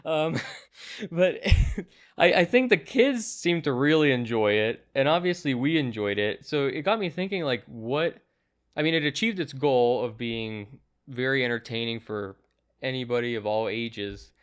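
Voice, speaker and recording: A man's voice: medium pitch, animated, unhurried, clear, resonant tone. A young man giving a casual, conversational movie review, talking in loose, chatty asides. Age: young adult.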